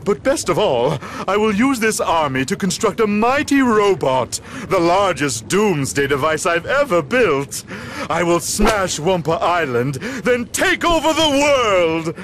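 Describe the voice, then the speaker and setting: High-pitched pompous cartoon mad scientist. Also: male voice, big pitch swings, unhurried, fairly bright tone, slight background noise, exaggerated voice. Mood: boastful. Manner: pompous, theatrical. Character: vain, gloating male mad scientist villain. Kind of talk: video game cartoon acting.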